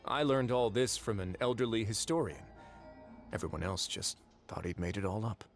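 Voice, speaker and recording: An actor playing a composed, wry young duke in a video game, speaking in a deliberate, measured storytelling manner. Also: male voice, fairly low pitch, faint music behind, lightly acted. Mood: calm, measured. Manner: deliberate, dryly wry, unhurried. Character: composed, wry young duke who runs an underwater prison fortress.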